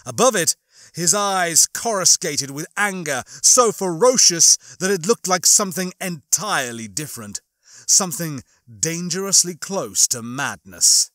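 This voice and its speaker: A man reading a horror novel as an audiobook narrator, in a dramatic, emphatic style with drawn-out phrases. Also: on the high side, sharp tone, no room sound, studio quality. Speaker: audiobook narrator and channel host.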